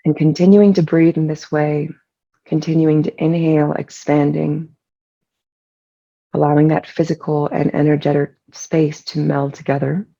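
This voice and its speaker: A person leading a guided meditation in a soothing, unhurried way with long pauses, relaxed and gravelly with vocal fry. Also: medium pitch, highs cut off.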